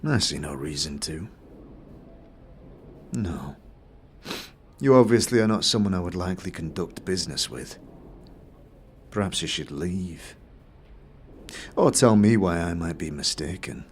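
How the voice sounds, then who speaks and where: A man voicing a disdainful, calculating moneylender in a fantasy game audio series, with a condescending, drawn-out and measured delivery. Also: low voice, animated, a little hiss or hum. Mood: dismissive. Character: disdainful, calculating male moneylender, smug and snobbish.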